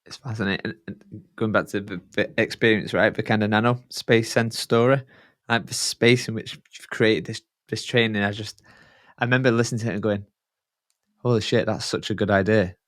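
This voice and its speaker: Male interview guest, fairly low voice, dry recording, eager and informal. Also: steady tone, brisk, fairly bright tone, dry recording. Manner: enthusiastic, loose, swears casually. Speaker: podcast guest. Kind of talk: casual interview chat.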